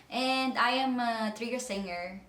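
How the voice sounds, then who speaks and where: A woman in her late twenties with a Filipino accent, speaking in an animated way and mixing English and Tagalog. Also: a little high, slow, a little hiss or hum. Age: 20s. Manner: animated, acts out quoted taunts, laughs. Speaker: singer and church staff. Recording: echoey room with music bed.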